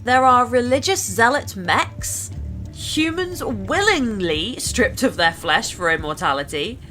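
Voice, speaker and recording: A woman's voice: a little high, sharp tone. A woman narrating a gameplay video from a script, informal and chatty as she addresses viewers.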